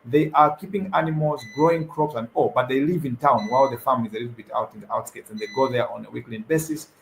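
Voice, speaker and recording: A man's voice: mid-range voice, fairly even intonation, fast talker, gravelly, slight background noise, low-quality recording. A young man from Zambia answering as a guest on a panel, hesitant, repeating words and using many fillers. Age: young adult.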